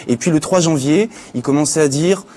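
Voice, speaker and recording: A man's voice: on the high side, moderate pace, a little mellow, a little hiss or hum. A man giving an informal spoken chronicle on a live talk show, as a news contributor. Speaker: contributor presenting internet news.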